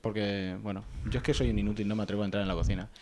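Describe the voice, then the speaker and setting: A man co-hosting a casual podcast, reading listener mail in a quick, wry way. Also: lowish voice, little pitch movement, a little mellow. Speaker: podcast co-host.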